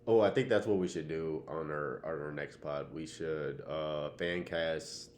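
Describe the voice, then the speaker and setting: Very deep-voiced young American man. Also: unhurried, darkish tone, slight background noise. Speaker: podcast co-host. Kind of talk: casual, slangy pop-culture chat.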